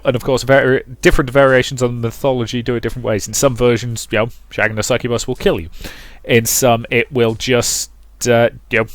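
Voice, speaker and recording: A man's voice: mid-range voice, dry recording. A man hosting a let's play, moving between dramatic visual novel narration and casual commentary, close on the mic.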